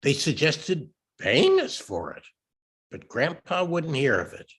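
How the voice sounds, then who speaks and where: A man giving a deliberate dramatic reading of a literary story. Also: fairly high pitch, wildly swooping pitch, fairly bright tone, slightly rough. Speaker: reader.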